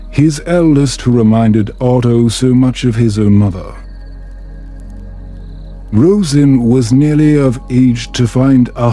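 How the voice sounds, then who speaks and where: A man reading a scripted bedtime story as a narrator, in a calm, measured, soothing way. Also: medium pitch.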